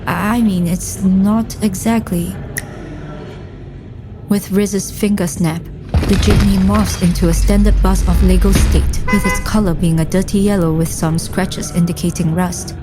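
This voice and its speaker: A woman playing a thoughtful agent in an audio drama, narrating and talking in a measured way. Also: mid-range voice, steady tone, music in the background, lightly acted. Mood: calm. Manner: measured, explanatory. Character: thoughtful, measured young female agent narrating her journey. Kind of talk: audio drama acting and first-person narration.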